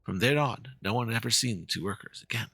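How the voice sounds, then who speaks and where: Man as a theatrical, creaky ghost-story teller. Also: medium pitch, quick pace, bright, forceful tone, dry recording, clean studio sound, exaggerated voice. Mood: eerie, suspenseful. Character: dramatic storyteller posting a spooky ghost-ship legend.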